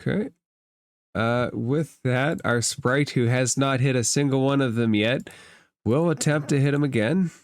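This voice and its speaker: Man, game master, mid-pitched voice, matter-of-fact rulings, dry close sound. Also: unhurried, dry recording. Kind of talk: refereeing a tabletop role-playing game.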